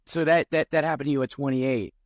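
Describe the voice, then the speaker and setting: A man's voice: mid-range voice, fairly even intonation, fast talker, no echo. Guy cohost asking stuff, kinda hesitant, mid voice, dry sound. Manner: hesitant, searching for words. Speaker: podcast co-host. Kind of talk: casual interview questions.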